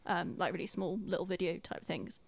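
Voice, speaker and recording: A woman's voice: lowish voice, fairly quick, gravelly. A woman talking casually and earnestly on a podcast, explaining things in an explanatory way.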